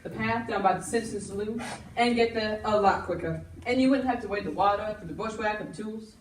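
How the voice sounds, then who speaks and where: A woman's voice: mid-range voice, brisk, lightly acted. A woman acting a chatty, folksy, matter-of-fact frontier shopkeeper in an amateur stage play. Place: indoor school stage. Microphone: distant audience camera. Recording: echoey noisy hall from far off.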